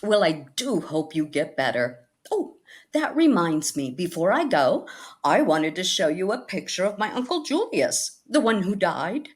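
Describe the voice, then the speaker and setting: A woman's voice: lowish voice, big pitch swings, a little slow. A woman playing a scatterbrained, ailing spinster, cheerfully morbid and rambling dolefully, in 1940s radio comedy style. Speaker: actress in a radio comedy recreation.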